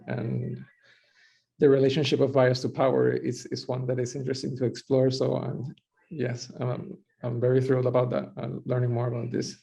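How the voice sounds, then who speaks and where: A young man giving a halting, reflective comment in an online workshop, repeating words as he goes. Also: mid-range voice, vocal fry, dark tone. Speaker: workshop participant. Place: indoor room on a video call. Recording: dull video-call audio.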